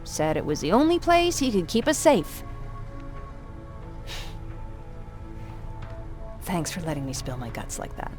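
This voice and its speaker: A woman's voice: fairly low pitch, huge pitch swings, a little slow, pauses often, music in the background. A woman playing a troubled young survivor, reflective and confiding, in a video game. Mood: wistful, confiding. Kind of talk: intimate video game dialogue.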